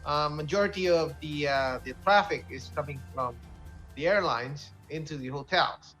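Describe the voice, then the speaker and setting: Higher-pitched man hosting over music. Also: moderate pace, fairly bright tone. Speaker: talk show host. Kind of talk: current affairs discussion. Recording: music bed behind the voice.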